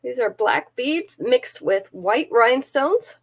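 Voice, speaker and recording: Woman, slightly high voice, stuffy nose, chatty live seller, detailed descriptions, close camera mic. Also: animated, slow pace. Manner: chatty, careful item-by-item descriptions.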